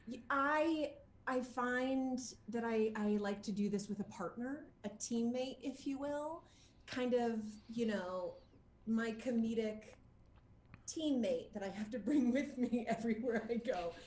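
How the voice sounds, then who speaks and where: A woman chatting casually as an interview guest, telling anecdotes in an animated, jokey way, with laughter close at hand. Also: on the high side, measured pace, echoey room, slight background noise. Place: at home, indoor room.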